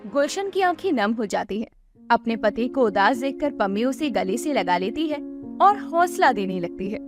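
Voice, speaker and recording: Female story narrator: high-pitched, expressive scripted delivery over a light music bed. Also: normal speed.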